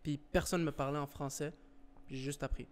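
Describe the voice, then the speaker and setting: A man's voice: on the high side, steady tone, moderate pace, a little hiss or hum. A man in his twenties hosting a casual podcast chat on a couch set, speaking into a handheld mic. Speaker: podcast host.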